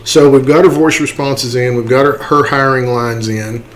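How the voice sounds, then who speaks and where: A man giving an unscripted software tutorial, halting and step-by-step, often checking in with a questioning okay. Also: mid-range voice, steady tone, slight background noise, rough recording. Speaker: tutorial host.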